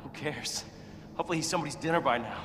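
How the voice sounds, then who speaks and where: Male actor as bitter, desperate jailbird in a game, high-pitched, reverberant room, loud music underneath. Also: rapid-fire, background noise, natural voice. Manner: profane, pushy, bitter. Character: cynical, desperate jailed man pleading for release. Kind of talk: video game cutscene acting.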